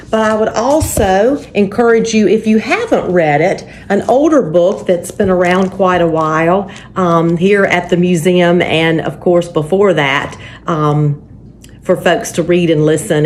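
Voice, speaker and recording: A woman hosting a story time and reading to families in a measured, deliberate, expressive way. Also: on the low side. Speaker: church story time host and reader. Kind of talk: children's picture book read-aloud with spoken introduction. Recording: faint background noise.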